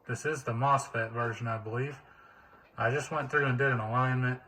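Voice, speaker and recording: A man's voice: medium pitch, steady tone, moderate pace, bright, forceful tone, slightly rough, low-quality recording. A man giving a casual, plainspoken demonstration of used gear he is selling, talking off the cuff.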